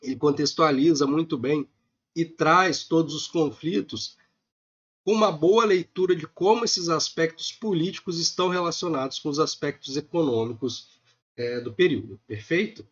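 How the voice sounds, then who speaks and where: A man with a Brazilian accent gives a recorded online economics lecture, a little hoarse from a cold, talking informally with many filler sounds. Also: a little high, moderate pace, dull audio. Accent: Brazilian Portuguese.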